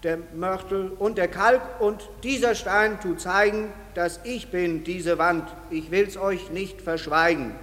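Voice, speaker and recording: A man's voice: high voice, fairly even intonation, slow pace, hardly any pauses, a bit bright. An actor playing a nervous, simple tradesman who stiffly recites rhymed radio play verse, earnest and prone to stumbling. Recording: reverberant hall.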